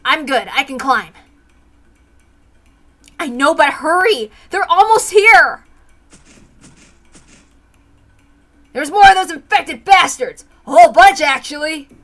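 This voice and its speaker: A woman's voice: sits high, animated, a little slow, fairly bright tone, pitched above the performer's own voice. A woman voicing a brash, spirited teenage girl leader in an amateur reading of a horror visual novel. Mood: urgent.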